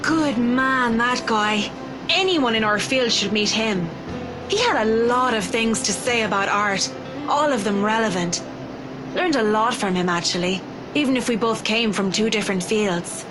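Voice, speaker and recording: A woman's voice: a little high, natural voice, rough recording. An actress playing a poised, professional female interior designer, composed and conversational in visual novel game dialogue. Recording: music behind the voice.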